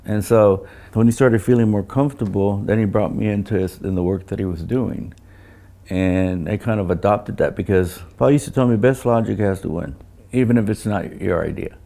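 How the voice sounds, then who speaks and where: An older man who designs loudspeakers, talking casually about technical matters and often repeating words as he recounts things. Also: lowish voice, vocal fry. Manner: halting, repeats words, anecdotal.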